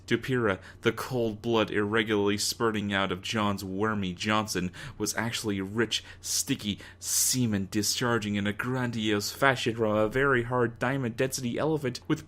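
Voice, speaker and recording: A man's voice: on the low side, fairly bright tone. A man reading a story aloud as a dramatic narrator, in a mock-serious, theatrical manner. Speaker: narrator of a fan fiction reading.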